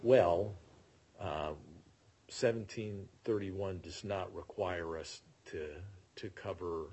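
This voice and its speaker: A man presiding as a judge, questioning lawyers in court with a probing, halting manner. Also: sits low, a little mellow, slightly rough. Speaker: appellate judge.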